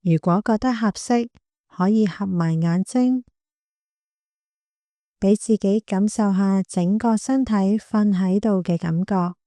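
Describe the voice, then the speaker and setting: A woman with a Hong Kong Cantonese accent guiding a meditation in a gentle, calm, unhurried manner. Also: mid-range voice, dry recording, clean studio sound. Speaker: meditation guide, clinical psychologist. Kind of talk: guided body scan meditation.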